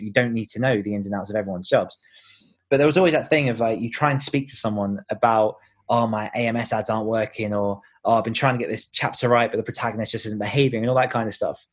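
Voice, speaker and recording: A man talks as an author being interviewed on a podcast. His voice sits at an ordinary middle pitch, and his delivery is casual and conversational. He explains his points in a chatty way, often acting out short examples.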